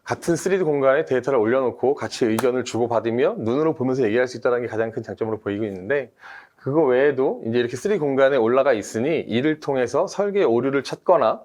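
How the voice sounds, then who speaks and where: A man speaks in a mid-range voice, a team leader laying out his points methodically for a webinar audience. He is steady and explanatory, and he often pauses on small fillers as he works through each idea. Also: mid-range voice. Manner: measured, methodical, with frequent fillers. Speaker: team leader, industry expert guest.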